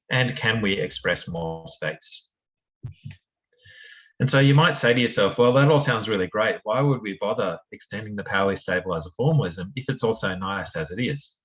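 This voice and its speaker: A man's voice: low voice, a bit bright, poor audio. Australian man giving a seminar talk. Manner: friendly, with frequent fillers and restarts. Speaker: lecturer.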